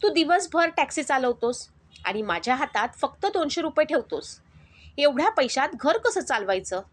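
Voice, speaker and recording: A woman's voice: sits high, big pitch swings, normal speed. A woman voicing a greedy nagging wife. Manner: nagging, complaining.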